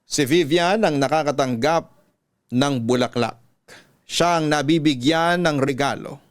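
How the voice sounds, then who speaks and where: A Filipino man tells a story in the manner of classic radio drama. His voice is smooth and a little high, recorded close and dry. He speaks in measured, sentimental, confessional phrases as a storytelling host. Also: no echo. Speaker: radio-style storyteller host reading a letter.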